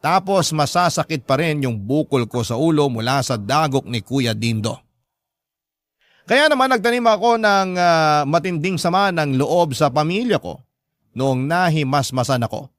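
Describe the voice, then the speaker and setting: High-voiced, plaintive youngest son. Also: male voice, expressive, moderate pace, a bit bright, no echo, clean studio sound. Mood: hurt, resentful. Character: aggrieved youngest son recounting his hard life. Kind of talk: first-person radio drama narration of a letter.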